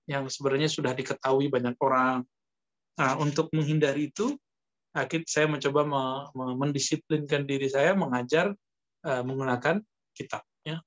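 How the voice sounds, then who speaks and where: Higher-voiced male preacher, dull recording. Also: a bit bright. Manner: explanatory, halting, with pauses and Arabic quotations. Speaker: Islamic preacher and teacher. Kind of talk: religious lecture teaching from a book, conversational.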